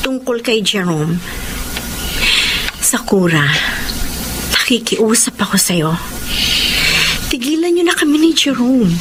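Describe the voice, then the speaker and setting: A woman's voice: fairly high pitch, lively intonation, slow pace, long pauses, heavy background noise. A woman acting a jealous, hurt wife in a Filipino audio melodrama, tearful, accusing and emphatic. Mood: restrained pleading. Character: jealous, hurt wife pleading with her husband.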